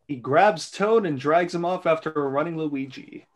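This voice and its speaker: A man's voice: a little high, measured pace. A man reading stage directions as narrator in an amateur script reading.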